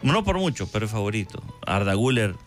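A man's voice: fairly low pitch, big pitch swings, fairly quick, faint music behind. A man analysing football on a radio panel, confident but full of hesitant fillers. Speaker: football pundit. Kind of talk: radio sports panel chat.

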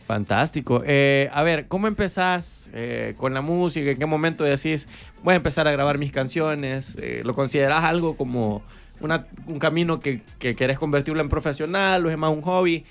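A man's voice: a little high, normal speed. A man with a Central American Spanish accent, hosting an interview and asking questions in a casual, conversational way. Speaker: interview host.

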